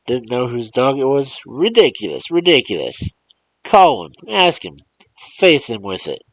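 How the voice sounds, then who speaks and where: A man voicing an angry old country man who yells accusations in rough rural grammar. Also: sits low, animated, moderate pace, no echo, poor audio. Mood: angry accusing.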